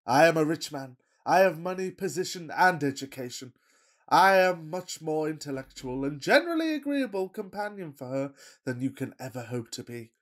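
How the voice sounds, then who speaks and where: An actor playing a pompous, lovestruck rich young gentleman, melodramatic and self-regarding, in a farcical radio play. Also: a little high, big pitch swings, moderate pace. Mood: pompous, persuasive.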